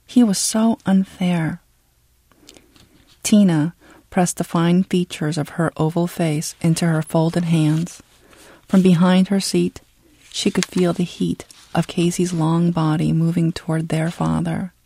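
A narrator reading literary fiction in a measured, dramatic storytelling way. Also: mid-range voice, level tone, creaky, warm tone, no room sound. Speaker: audiobook narrator.

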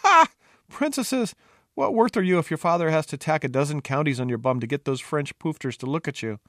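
A man's voice: on the high side, animated, brisk, a bit bright, no room sound, studio quality, pitched above the performer's own voice. A man voicing a cocky, bawdy court jester in a storybook, with mocking, melodramatic, mock-archaic delivery. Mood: scornful. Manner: theatrical, mock-archaic wordplay.

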